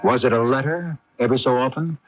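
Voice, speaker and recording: A man's voice: mid-range voice, fast, faint music behind. A man hosting a radio drama, delivering theatrical scripted narration with a rhetorical delivery. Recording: muffled vintage radio broadcast.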